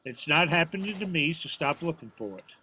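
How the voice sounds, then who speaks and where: A man's voice: fairly high pitch, expressive, a little fast, bright, forceful tone, breathy edge, heavily acted, poor audio. An actor playing a stubborn, proud, plainspoken old father from a small town, folksy and prickly, in an audio drama. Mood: defensive. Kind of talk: naturalistic audio drama acting at a live reading. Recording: faint noise of live stage performance.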